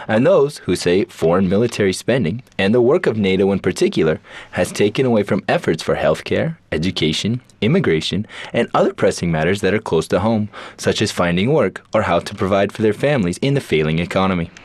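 Male news anchor, deep voice with wide pitch swings, dry close mic. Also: a little mellow, no room sound. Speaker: news presenter. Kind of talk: scripted news reading.

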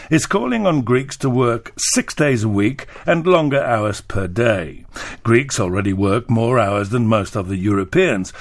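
A man's voice: mid-range voice, dry recording. Male newsreader in a dry studio. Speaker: radio newsreader. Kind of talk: scripted news reading.

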